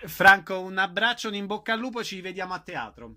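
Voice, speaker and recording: A man's voice: high-pitched, lively intonation, fairly quick. A man hosting an arts interview on the radio.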